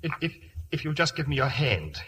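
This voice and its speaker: A high, lively bashful English lord in old radio comedy. He is lovesick, courteous and prone to stammering. The recording carries faint noise. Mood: bashful, tender. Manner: halting, stammering, polite.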